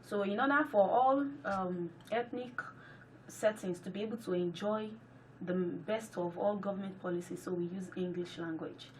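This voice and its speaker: A woman's voice: medium pitch, normal speed, distant mic, a little hiss or hum. A woman from Nigeria, whose first language is Yoruba, speaks English with a Nigerian accent as a guest professor in a radio interview. She answers agreeably, often echoing the host and saying yeah. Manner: agreeable, echoes the host's words, frequent yeah.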